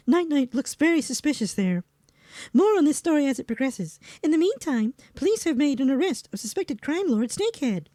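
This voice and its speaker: A woman playing a composed TV news co-anchor, reading parody news in a measured, formal manner. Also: sits high, warm tone, dry recording.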